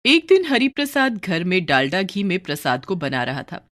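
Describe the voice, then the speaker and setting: Expressive woman story narrator. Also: on the low side, moderate pace. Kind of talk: scripted moral story narration.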